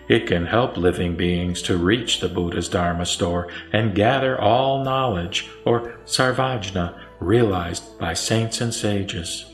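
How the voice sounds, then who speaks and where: A man reciting scripture as a scripted narration, with measured, solemn phrasing. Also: mid-range voice. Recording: roomy echo, music underneath. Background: background music.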